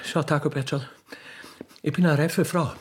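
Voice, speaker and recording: A man's voice: a little high, fairly even intonation, slightly rough, pitched above the performer's own voice. Man playing a blunt, coquettish Viennese widow. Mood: indignant, coaxing. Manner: folksy, halting, insistent declarations. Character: mature, rich, wooing Viennese butcher's widow, blunt and coquettish. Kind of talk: theatrical comic radio-play acting.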